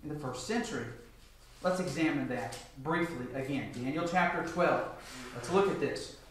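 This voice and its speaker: A middle-aged man with a fairly high voice delivers a Bible lecture in a very echoey church meeting room. He sounds distant from the microphone and likes to put questions to his listeners and answer them himself. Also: normal speed, fairly bright tone, slight background noise. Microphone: picked up from a distance.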